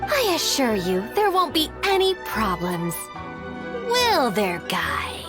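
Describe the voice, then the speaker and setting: A shrewd young woman from a video game, composed and polite. Her high voice moves through lively pitch as she smooths things over in formal, apologetic phrases, with music playing clearly behind her. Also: slow pace, full character voice. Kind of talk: scripted game dialogue acting.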